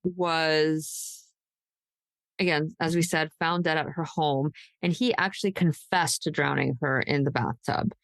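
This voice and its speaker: A woman hosting a true crime podcast, discussing cases conversationally and pausing as she pieces the details together. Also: low-pitched, steady tone, creaky, no room sound.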